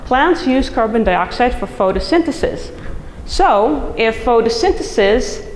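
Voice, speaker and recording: A middle-aged woman from the Netherlands with a Dutch accent, giving an enthusiastic, chatty conference talk as a soil scientist, full of fillers and restarts. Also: medium pitch. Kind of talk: conference presentation. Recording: echoey hall recording, faint noise.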